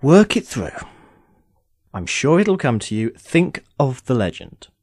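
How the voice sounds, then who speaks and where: A man acting as an immortal sorcerer in an audio drama, with a very lively, swooping delivery in a mid-range voice. He is urbane and sardonic, phrasing things formally with impatient wit. The sound is close and dry. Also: medium pitch, takes it slowly, breathy edge, no room sound, heavily acted. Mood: dry, matter-of-fact. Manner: wry, formal, theatrical phrasing.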